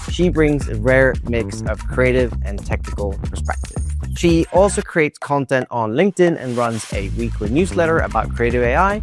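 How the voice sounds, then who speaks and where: An upbeat man hosts a podcast, reading his intro and asking friendly interview questions. His voice sits in a comfortable middle register. Music plays clearly under his speech. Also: medium pitch. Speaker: podcast host.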